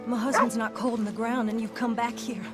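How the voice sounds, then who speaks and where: An actress playing a grieving, righteous frontier widow in a game, stern and accusing. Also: female voice, fairly high pitch, steady tone, a little fast, loud music behind the voice, natural voice. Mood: bitter, grieving. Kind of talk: dramatic game cutscene acting.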